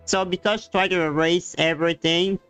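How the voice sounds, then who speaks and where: A woman's voice: on the low side, fairly even intonation, brisk, a bit bright, clean tone, low-quality recording. A woman streaming a video game, giving casual reaction commentary in short remarks as she plays. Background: game dialogue and music.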